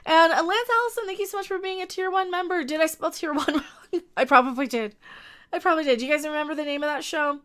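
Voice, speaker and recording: A woman's voice: high-pitched, fast talker, fairly bright tone. A sniffly woman hosting a casual live stream, rambling bluntly with restarts and asides to her chat.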